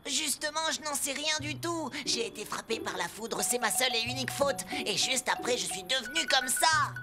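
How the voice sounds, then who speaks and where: A woman voicing an anxious, pleading little vehicle in a dubbed children's cartoon, plaintive and excitable. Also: high-pitched, moderate pace. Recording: loud music underneath.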